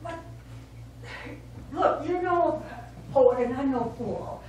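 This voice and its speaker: Actress as stubborn, emphatic wife in a stage play. High voice, distant mic. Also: female voice, lively intonation, slow pace, darkish tone, heavy reverb, slight background noise. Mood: irritated, insistent. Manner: emphatic, wordy. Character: stubborn, earnest, argumentative wife. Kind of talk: live stage play acting. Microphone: far camera.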